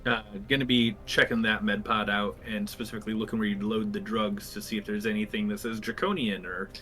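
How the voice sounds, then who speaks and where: Bright-voiced male tabletop player. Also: lowish voice, level tone, brisk. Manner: plans actions out loud, casual. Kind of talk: tabletop roleplay chat.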